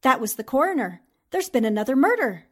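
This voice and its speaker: A woman acting a brisk, eager newspaper reporter in a 1940s-style radio drama. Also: high-pitched, very animated, fairly bright tone, exaggerated voice. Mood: brisk, bossy.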